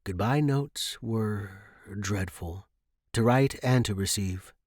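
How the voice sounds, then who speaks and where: A man narrating as a story podcast host, with a measured, articulate delivery. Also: lowish voice, darkish tone, no echo, studio quality. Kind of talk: scripted fiction narration and podcast outro.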